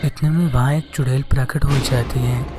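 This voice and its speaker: A man narrating a scripted cartoon horror story in an even, plain way. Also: medium pitch, moderate pace, background noise.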